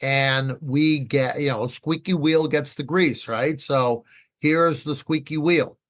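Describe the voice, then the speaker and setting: Guy, podcast expert, explains stuff emphatically, repeats himself, asks rhetorical questions. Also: mid-range voice. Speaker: podcast guest, air traffic expert. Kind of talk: conversational explaining.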